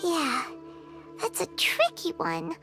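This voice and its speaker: An extremely high, squeaky voice for a tiny fairy sidekick in a video game. The delivery is childlike and chatty, swinging up and down with earnest emphasis, over faint music. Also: female voice, wildly swooping pitch, takes it slowly, exaggerated voice. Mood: reassuring. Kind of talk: scripted game dialogue.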